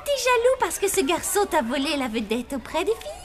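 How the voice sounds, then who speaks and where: Very high, blunt zombie girl. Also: very animated, a little fast, noisy background. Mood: exasperated. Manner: teasing, blunt. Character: frank, exasperated young zombie girl. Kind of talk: animated cartoon dub acting. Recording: loud music under the voice.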